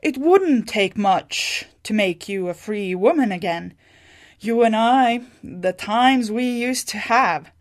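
A woman voicing a mischievous, scheming sorceress with a teasing, suggestive manner and a slow delivery. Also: medium pitch, darkish tone, lightly acted.

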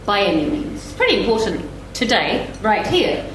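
A woman's voice: mid-range voice, big pitch swings, normal speed, fairly bright tone. A woman speaking as a panellist in an informal discussion, making her points emphatically. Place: echoey room at a live event. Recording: echoey room, faint noise.

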